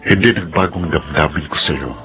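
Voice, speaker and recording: An extremely deep-voiced, devoted suitor speaks tenderly and earnestly. It is romantic radio drama, with clear music beneath the voice. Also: male voice, normal speed. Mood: tender, lovelorn. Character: earnest lovelorn successful businessman, longtime bachelor suitor. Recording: music under the voice.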